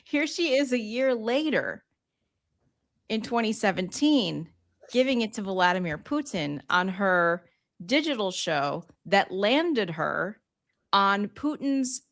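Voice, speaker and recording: A woman's voice: mid-range voice, very animated, slow, fairly bright tone. Woman chatting as a co-host. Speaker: co-host of a political livestream. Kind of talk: casual chat.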